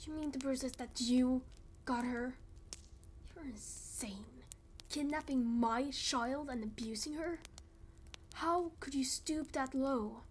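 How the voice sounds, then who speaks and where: A woman acts out a menacing, manipulative father in a game roleplay. The delivery is indignant and dramatic, slow and high in pitch, with faint noise behind it. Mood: outraged, accusing. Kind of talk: amateur Minecraft roleplay voice acting.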